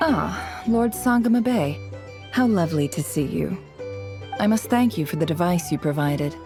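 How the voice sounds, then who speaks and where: A woman playing a shrewd, polite intelligence operative in a video game, measured and knowing. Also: lowish voice, lively intonation, music in the background. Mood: courteous. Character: composed, shrewd woman spymaster, politely calculating. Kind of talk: video game cutscene acting.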